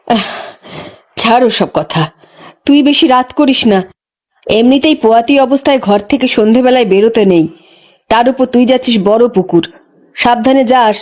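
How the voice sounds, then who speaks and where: A woman's voice: on the high side, darkish tone, lightly acted. A woman performs a careworn village midwife in a horror story drama. Her talk is colloquial and full of weary sighs, like someone who carries a whole household alone. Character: weary, careworn village midwife, a caring but burdened wife.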